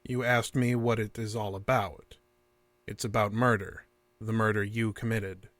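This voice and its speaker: Cold, formal alien interrogator. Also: male voice, fairly low pitch, little pitch movement, measured pace, a bit bright, dry recording. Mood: cold, detached. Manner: formal, repetitive, clinical phrasing. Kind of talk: audio drama acting.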